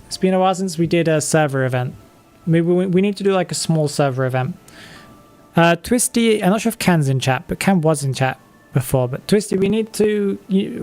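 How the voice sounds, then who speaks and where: A man's voice: sits high, a little mellow. A man in his twenties chatting casually on a live game stream, reading chat and laughing often. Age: 20s.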